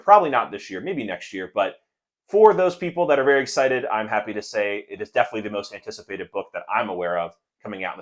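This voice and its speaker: A man hosting a casual book chat for viewers, speaking in an enthusiastic, positive way. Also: lowish voice. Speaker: book reviewer and video host.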